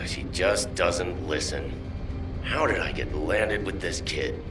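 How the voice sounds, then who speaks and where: A man's voice: low-pitched, takes it slowly. A man voicing a grumpy, worn-out male guardian who sounds weary and exasperated. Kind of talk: video game cutscene voice acting. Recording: distant with music behind.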